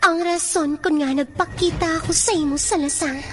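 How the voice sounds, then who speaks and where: An actress playing a proud, stern harpy princess in a Filipino radio drama, measured and reproachful, with a Filipino accent. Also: female voice, very high-pitched, steady tone, a bit bright, slight background noise. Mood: commanding.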